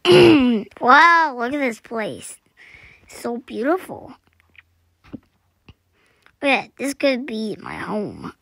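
A young woman chatting through a survival game, her voice scratchy this morning, clearing her throat and often dropping to a whisper. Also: fairly high pitch, very animated, extremely slow, long pauses, a bit bright, dry recording. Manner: chatty, self-deprecating, often drops to a whisper. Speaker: gaming channel host. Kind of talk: casual gameplay commentary.

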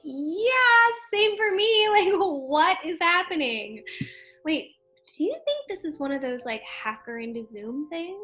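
A woman's voice: high-pitched, lively intonation, normal speed, faint music behind. A woman playing an incredulous, suspicious young woman on an awkward video date in a conversational comedy sketch, sometimes hesitant.

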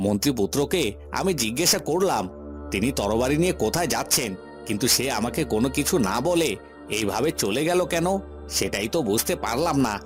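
Man as a mercenary cartoon henchman, mid-pitched, questioning. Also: lively intonation, fairly quick, soft background music, close to an everyday voice. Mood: puzzled. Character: mercenary male henchman, puzzled and deferential. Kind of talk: Bengali cartoon voice acting.

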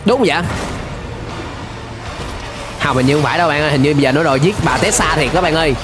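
A young man with a Southern Vietnamese accent hosting a reaction video with excited, exclamatory commentary. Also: on the high side, fast talker. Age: young adult. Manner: exclamatory, animated. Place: indoor room, gaming setup. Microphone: close desk microphone. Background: music and cartoon soundtrack.

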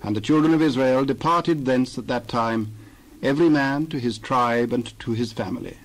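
A man narrating a dramatized Bible reading, measured and formal with archaic scripture phrasing. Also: mid-range voice, poor audio.

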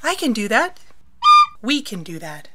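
An actress playing an eager, confident young gadget and bomb maker in an audio drama, matter-of-fact and keen. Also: female voice, on the high side, sing-song, measured pace, noisy background.

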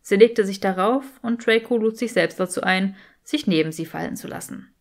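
A woman's voice: medium pitch, normal speed. A woman reading scripted fiction as an audiobook narrator.

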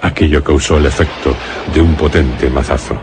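A man narrating a radio horror drama as a grave storyteller, solemn and deliberate, with a Castilian accent. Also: a bass voice, steady tone. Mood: grave suspense. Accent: Castilian Spanish. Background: music.

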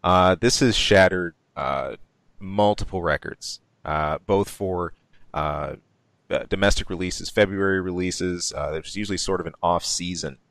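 A man's voice: low-pitched, measured pace, a bit bright, no room sound. A man co-hosting a podcast, chatting casually about movie news with lots of ums, restarts and laughs. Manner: rambling, many ums and restarts, laughs often. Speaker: podcast co-host.

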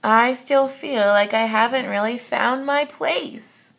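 Woman voicing earnest young storybook zero, bright. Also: a little high, slow, gravelly, voice pushed up, full character voice. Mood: wondering. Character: shy, earnest young male number zero.

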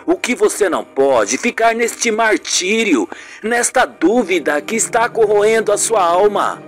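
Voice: medium pitch, sing-song, a little slow, faint music behind, pitched above the performer's own voice. A performer voicing an earnest male friend who gives firm advice in an amateur radio soap opera. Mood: urging.

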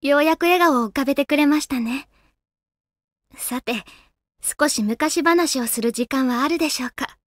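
A woman playing a gentle, solemn female guardian spirit in a Japanese video game, speaking politely and formally with composure. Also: sits high, normal speed, dry recording, studio quality, lightly acted. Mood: serene, warm.

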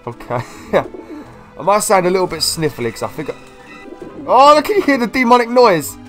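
A young man with a slight cold, casually commentating a video game walkthrough in an excitable, chatty way. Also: high-pitched, brisk, music in the background. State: slightly ill, sniffly. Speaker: gameplay video host. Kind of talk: casual let's-play commentary.

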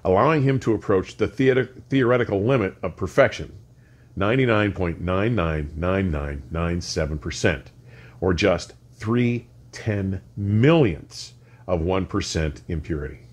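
An older man presenting a scripted technical explanation in measured, deliberate, emphatic phrases with precise wording. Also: low voice. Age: older, around 60. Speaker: host of an audio explainer series. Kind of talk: scripted educational explanation to camera. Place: furnished home listening room, indoors.

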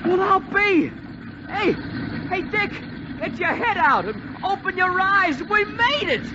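A man's voice: very high voice, sing-song, slow, lo-fi sound, exaggerated voice. An actor playing a young, wry, wisecracking American bomber airman in a 1940s radio drama. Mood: relieved, elated. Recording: noisy, band-limited 1940s radio recording.

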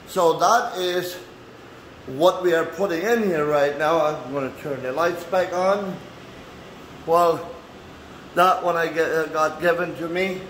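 A man from Canada chatting casually to camera as he shows his workspace, in a halting, folksy way with non-standard phrasing. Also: a little high. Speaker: vlogger and handyman host. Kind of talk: casual walk-through chat to camera. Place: indoors in a man cave workshop. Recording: echoey room with light background noise.

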